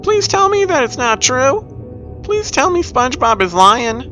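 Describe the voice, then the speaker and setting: Guy doing a shrill emotional teen whale girl cartoon voice, super high falsetto, loud and bright. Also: sing-song, unhurried, soft background music, exaggerated voice. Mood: pleading.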